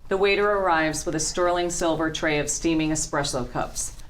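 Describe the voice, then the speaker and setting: A woman's voice: low voice, moderate pace, a little hiss or hum, rough recording. A woman narrating scene headings and stage directions from a script at a table read.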